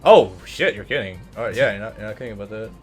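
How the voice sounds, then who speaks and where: A man with a fairly low voice hosts a video game playthrough. He chats casually through his commentary, and game music runs quietly underneath. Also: animated, fast. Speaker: host of a gaming let's play. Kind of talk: casual gameplay commentary chat.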